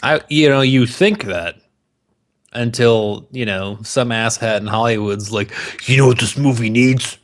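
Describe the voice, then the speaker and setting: A man's voice: mid-range voice, unhurried. A man co-hosting a podcast in casual banter, joking and ranting with plenty of swearing. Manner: profane, jokey ranting. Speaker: podcast co-host.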